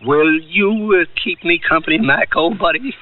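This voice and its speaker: Male actor doing easygoing young guy, radio play banter, high lively voice, far from mic, tinny. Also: moderate pace, natural voice. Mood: breezy banter. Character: easygoing young bachelor bantering with holiday friends. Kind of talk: 1970s radio drama dialogue. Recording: distant, phone-like radio tape, faint noise.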